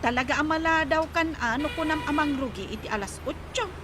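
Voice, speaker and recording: A woman acting as a chatty, boastful, preachy village friend in an Ilocano comedy radio drama, animated and teasing, with an Ilocano accent. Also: sits high, fairly quick, noisy background, lightly acted. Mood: chiding.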